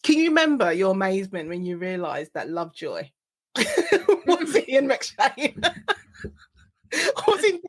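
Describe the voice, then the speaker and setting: A woman hosts a relaxed podcast interview and asks questions in a chatty way. Her voice rises and falls with a lively, animated pitch. She laughs easily between questions. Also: slow pace, pauses often, husky. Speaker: podcast host. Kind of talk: casual interview chat.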